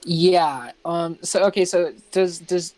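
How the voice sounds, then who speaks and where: Slow, hesitant man playing a tabletop game. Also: on the high side, a little mellow, dry recording, low-quality recording. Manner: hesitant, halting. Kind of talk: casual game chat.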